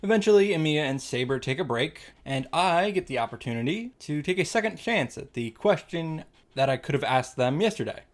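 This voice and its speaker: A woman's voice: deep voice, lively intonation, brisk, few pauses. A woman giving casual, sarcastic commentary on a visual novel, reading along and dropping profane asides. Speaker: visual novel reaction host.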